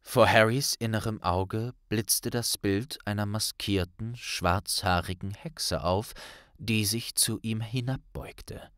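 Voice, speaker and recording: A man's voice: fairly low pitch, steady tone, takes it slowly, fairly bright tone, no echo, studio quality. A man narrating an audiobook in a dramatic scripted storytelling style.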